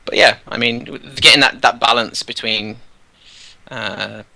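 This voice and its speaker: Bright-voiced man, dry close sound. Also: medium pitch, measured pace, gravelly, dry recording. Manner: relaxed, rambling, many fillers and restarts. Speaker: teacher, guest. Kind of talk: casual conversation while touring a game world.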